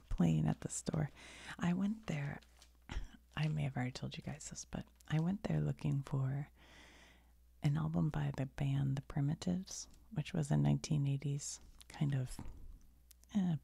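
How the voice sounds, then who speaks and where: A middle-aged woman telling a rambling story in a soft, unvoiced whisper, with little asides as she goes. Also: low voice, fairly even intonation, a little slow, vocal fry, long pauses, breathy edge. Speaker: video creator.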